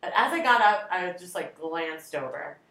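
A woman's voice: on the low side, expressive, normal speed, a bit bright. Rambling self-deprecating woman in echoey room. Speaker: podcast co-host. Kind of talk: casual chat.

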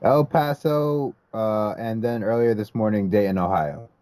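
A man's voice: lowish voice, a little slow, darkish tone, no echo. A man co-hosting a casual podcast, talking hesitantly with many restarts and repeated words. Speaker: podcast co-host.

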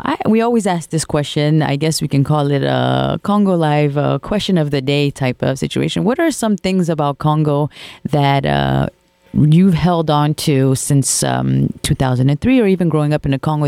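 A radio host with a gravelly, mellow voice, asking questions casually with frequent ums and uhs. The sound is dry and close. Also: medium pitch, normal speed, no echo. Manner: casual, friendly, many fillers. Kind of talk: radio interview.

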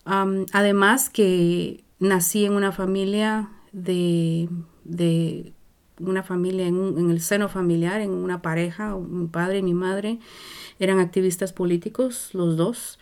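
Guest: older Guatemalan woman, Guatemalan Spanish accent, lowish voice, reflective and searching. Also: steady tone, a little mellow, clean tone. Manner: slow and searching, many hesitations and restarts. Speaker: interview guest. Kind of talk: reflective personal storytelling.

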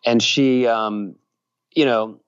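A man's voice: medium pitch, steady tone, slow pace, smooth, highs cut off. A man from Virginia, a musician and journalist, giving rambling casual interview answers full of fillers and restarts.

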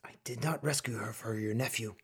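An actor playing a blunt, protective middle-aged Turkish colonel and exasperated husband in a radio play, with a rough voice. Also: male voice, medium pitch, fairly quick, fairly bright tone. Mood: stern, guarded. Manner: blunt, brusque.

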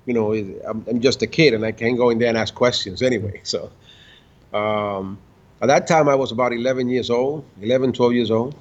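A man in his fifties, born in the Dominican Republic and raised in Puerto Rico, talking as a car-builder guest in a casual interview, halting and full of restarts. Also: medium pitch, fairly even intonation. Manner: halting, many restarts, laughs between stories. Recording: dull lo-fi sound.

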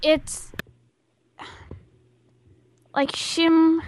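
A woman's voice: extremely high pitch, level tone, extremely slow, darkish tone, heavily acted. A woman playing a shy little girl on a prank call, hesitantly sounding out words she cannot read. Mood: shy, eager. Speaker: prank caller. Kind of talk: improvised prank phone call.

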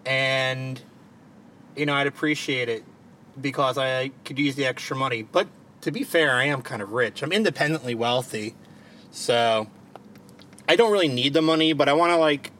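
A man's voice: mid-range voice, moderate pace, fairly bright tone. Baltimore guy hosting a podcast from a parked car, jokey and sweary, bit of hiss. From Maryland. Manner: joking, swears freely. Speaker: podcast host. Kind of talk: casual chat. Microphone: home podcast mic.

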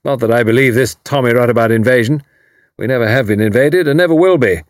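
A man voicing a hearty, blustering older British commander with an upper-class English accent and a loud, barking, clipped delivery in an audiobook. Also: medium pitch, quick pace, no echo, clean studio sound, natural voice. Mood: blustering, indignant. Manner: bluff, emphatic, clipped phrasing.

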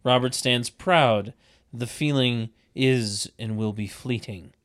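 A narrator reading stage directions in a deadpan, wry, mock-literary comic style. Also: mid-range voice, slow, no echo, studio quality. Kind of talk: deadpan comedic script narration.

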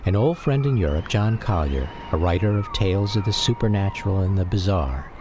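An American man narrating a scripted radio memoir in a measured, reflective manner. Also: deep voice, lively intonation, noisy background.

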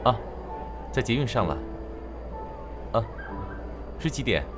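A man acts as a young male stylist from a romantic audio drama, speaking slowly with a Taiwanese Mandarin accent. His manner is soft and attentive, with lively swings in intonation. Music plays clearly underneath. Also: mid-range voice. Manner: soft, caring, unhurried.

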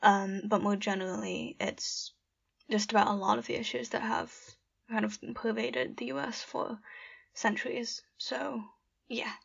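A woman's voice: fairly high pitch, fairly even intonation, a little slow, gravelly, breathy edge, lo-fi sound. A young woman introducing and reading a poem she wrote. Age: young adult. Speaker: poet.

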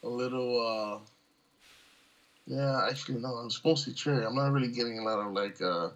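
A man's voice: mid-range voice, fairly quick, fairly bright tone. A man in casual conversation as a podcast co-host, easygoing and joking, on a dull remote connection. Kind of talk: casual chat. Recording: dull, lo-fi voice channel.

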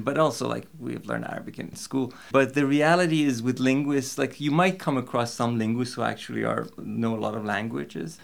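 A man's voice: mid-range voice, gravelly. A man who speaks Persian as his first language, a linguistics professor answering interview questions in a thoughtful, explanatory way with fillers and light laughs.

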